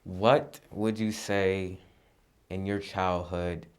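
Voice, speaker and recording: A young man, a student interviewer, asking questions in a slow, halting way. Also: deep voice, steady tone, smooth.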